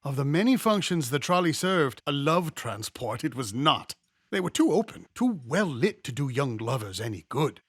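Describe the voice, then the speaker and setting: A man narrates a memoir with a measured, expressive storyteller's delivery. His voice sits a little high for a man. The recording is fairly dry, with little room sound. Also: breathy edge, no echo. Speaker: audiobook-style reader of a memoir. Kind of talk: scripted narration, nostalgic memoir reading.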